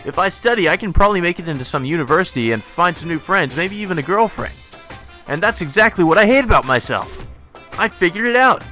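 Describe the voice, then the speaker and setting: A man's voice: high-pitched, animated, fast, pushed and bright, faint music behind, voice pushed up. A man voicing a self-loathing, plaintive teenage boy from an anime-style visual novel game. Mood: self-pitying.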